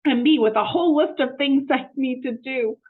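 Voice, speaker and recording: A woman's voice: sits high, moderate pace, narrow, tinny audio. A woman voicing a cheerful, naive, literal-minded housemaid from a children's storybook, exclamatory, wonderstruck and folksy. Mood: pleased, busy. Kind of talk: children's storybook read-aloud.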